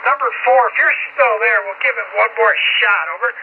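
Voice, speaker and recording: A man working as an amateur radio operator, chatting casually and explaining things haltingly to other stations over the radio. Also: sits high. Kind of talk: casual ham radio chat, relaying between stations. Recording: tinny shortwave radio transmission with static.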